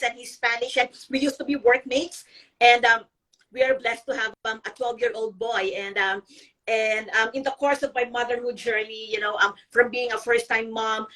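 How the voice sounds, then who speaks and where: A woman's voice: fairly high pitch, a bit bright, distant mic, poor audio. A middle-aged woman from the Philippines, a guest blogger on a live video interview, speaking earnestly with many ums and restarts. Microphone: video call.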